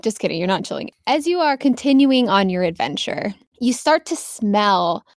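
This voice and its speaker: A woman acting as game master, narrating and bantering casually with playful, jokey drawn-out emphasis. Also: mid-range voice, sing-song, no room sound, studio quality.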